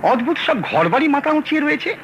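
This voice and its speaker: An actor playing a fussy, excitable middle-aged thriller writer in an old radio play. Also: male voice, very high voice, quick pace, muffled recording, slight background noise, heavily acted. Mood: wondering. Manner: excitable, fretful, pompous. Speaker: Lalmohan Babu. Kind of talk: 1970s radio drama acting. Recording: old radio broadcast with music.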